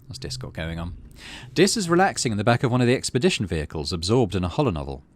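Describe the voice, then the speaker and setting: A middle-aged man with a low voice talks through a video game in a casual way. His intonation moves around a lot, sliding from dry muttering to himself into animated reading of on-screen text. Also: fast talker, no room sound. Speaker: gaming channel host.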